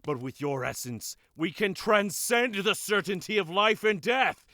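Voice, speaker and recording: A man's voice: high-pitched, big pitch swings, measured pace, sharp tone, no echo, studio quality, exaggerated voice. A man playing a fervent cult leader in an audio drama, solemnly declaiming a reverent invocation. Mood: reverent fervor. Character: fervent male cult leader praying at a dark altar.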